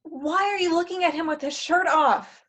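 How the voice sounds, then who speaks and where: A woman's voice: extremely high pitch, moderate pace, a bit bright. A woman playing a blunt, worldly, teasing character with a dry, knowing manner in a comedic table read. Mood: incredulous, scheming.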